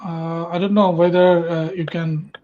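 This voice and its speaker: A man's voice: fairly high pitch, level tone, a little slow. A man from Bangladesh with a Bangladeshi accent, a native Bengali speaker, hesitantly moderating an online poetry event with many fillers. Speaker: festival moderator. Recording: dull lo-fi online call audio.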